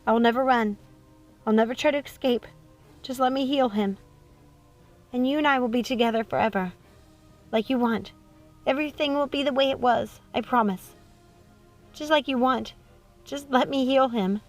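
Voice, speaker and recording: Woman acting a high-voiced, pleading young princess. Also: normal speed, pauses often, soft background music, lightly acted. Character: frightened, pleading but defiant young princess.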